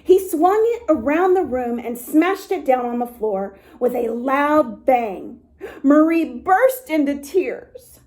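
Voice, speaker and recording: A woman reading a story aloud to young children in an expressive way, with little asides to her listeners. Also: fairly high pitch. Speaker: storytime reader for a dance academy.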